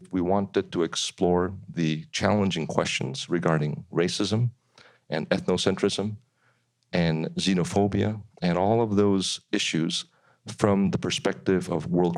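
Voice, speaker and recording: Deep-voiced man giving an introduction. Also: no room sound. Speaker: lecture series organiser introducing recordings. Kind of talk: measured introduction.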